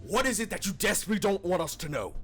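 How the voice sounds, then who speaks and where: A man plays a hot-tempered cartoon echidna guardian, fairly high and sharp in tone. The character is suspicious and confrontational, with wide swings in pitch. Faint music plays behind. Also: very animated, rapid-fire, heavily acted. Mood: accusing, angry. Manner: skeptical, confrontational, intense. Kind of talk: animated fan-dub dialogue.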